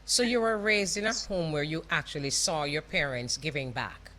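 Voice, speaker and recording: Bright-voiced Jamaican woman radio host. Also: deep voice, animated, moderate pace, low-quality recording. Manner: warm motherly, calls people love, laughs often. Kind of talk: casual radio interview. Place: radio studio.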